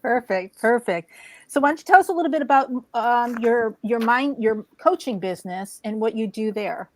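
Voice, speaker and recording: A woman's voice: a little high, expressive, normal speed, dry recording. A woman hosting interviews with authors, asking upbeat follow-up questions. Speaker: interview host.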